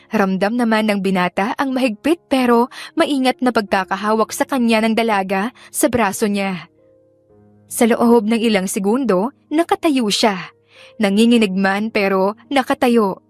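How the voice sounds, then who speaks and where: A woman with a fairly high voice narrates a romance audiobook. Her delivery is scripted dramatic storytelling, recorded dry with faint music underneath. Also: normal speed, dry recording.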